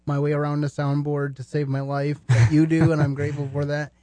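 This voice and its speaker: Even-toned, higher-voiced male podcast host. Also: a little fast, no room sound. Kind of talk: earnest conversational hosting.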